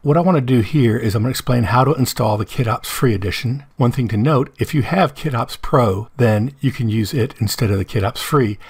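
A middle-aged man with a hoarse voice, explaining a software tutorial step by step over his screen recording. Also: mid-range voice, fairly quick, hardly any pauses, no room sound. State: hoarse from medication.

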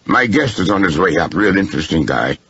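A man voicing a breezy, excitable male artist hosting a club dinner in an audiobook, chatty and eager, with a gravelly voice. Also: sits low, brisk, muffled recording, pitched above the performer's own voice, full character voice.

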